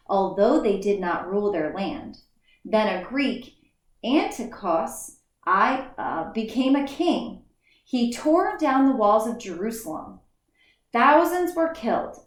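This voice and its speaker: A woman's voice: medium pitch, lively intonation, slow pace. A woman teacher reading a children's story aloud in a careful read-aloud manner, with the odd slip and restart. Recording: echoey room.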